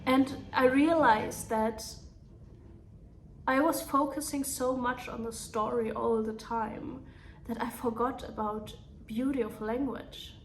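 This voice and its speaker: A young German woman, a native German speaker, talking earnestly in a soft, unhurried way about writing her novel. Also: on the high side, steady tone, a little hiss or hum, rough recording. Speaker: author reading her own novel. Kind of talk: reflective vlog talk.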